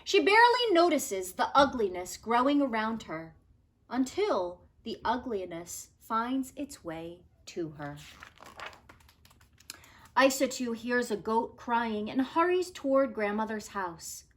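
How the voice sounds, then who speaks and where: A woman reads a children's story aloud in a fairly high voice. She takes her time over each phrase and moves her pitch around expressively, like a teacher sharing a book with students. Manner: deliberate, expressive storytelling delivery.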